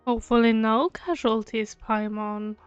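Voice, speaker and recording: A woman with a fairly high voice chats casually through a game playthrough. She is unhurried and a little hesitant, and the microphone sounds somewhat muffled over the game music. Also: fairly even intonation. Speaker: game streamer. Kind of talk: casual game playthrough commentary, reading dialogue aloud. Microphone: gated microphone, uneven pickup.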